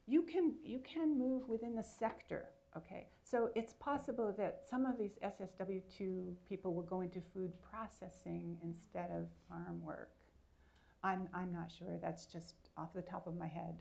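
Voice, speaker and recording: A woman's voice: mid-range voice, measured pace, creaky, warm tone. A woman researcher answering audience questions at a seminar, explaining at length and checking in with her listeners. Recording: dull lo-fi sound.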